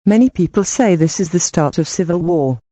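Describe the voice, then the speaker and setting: Woman newsreader, flat bulletin read; mellow voice, dry recording. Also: lowish voice, brisk, no echo. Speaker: newsreader in a fictional emergency broadcast. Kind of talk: scripted amateur audio drama.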